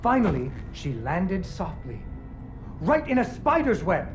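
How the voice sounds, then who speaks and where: A man's voice: high-pitched, huge pitch swings, a little slow, slight background noise, full character voice. An actor playing a patient father who improvises a fairy tale for his daughter in a video game, narrating dramatically with wry playfulness. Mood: suspenseful, wry. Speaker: storyteller.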